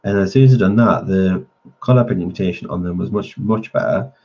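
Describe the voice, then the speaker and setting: A man's voice: deep voice, fairly quick, mellow, highs cut off. A man hosting a colouring channel, chatting casually with viewers while he colours, a little nasal as he gets over a cold. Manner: chatty, answering viewer comments. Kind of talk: casual live-stream chat while colouring.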